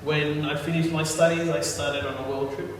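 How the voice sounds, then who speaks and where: A man's voice: on the high side, flat delivery, a little slow. A man interpreting a sermon in short consecutive phrases, restarting and repeating words as he translates. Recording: echoey hall, distant mic, noisy.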